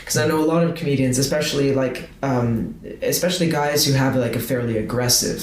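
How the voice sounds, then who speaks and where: A man's voice: medium pitch, steady tone, brisk. A man talking as a guest on a podcast in casual conversation, chatty and thinking aloud. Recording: roomy sound with faint background noise.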